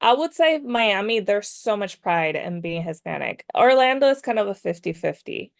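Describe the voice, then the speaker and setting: A woman's voice: medium pitch, lively intonation, moderate pace, gravelly, no echo, clean studio sound. A woman, telling personal stories casually as a podcast guest, sometimes switching between English and Spanish. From Dominican Republic. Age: 30s. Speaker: podcast guest, clothing brand owner.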